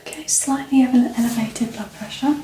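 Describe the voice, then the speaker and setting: A woman speaking softly and breathily, often whispering, calm and reassuring as she plays a doctor in an ASMR medical exam. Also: on the high side, brisk. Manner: calm, reassuring, gently instructing. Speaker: ASMR performer playing a doctor. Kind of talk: soft-spoken ASMR medical exam roleplay. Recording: roomy echo, distant mic, background noise.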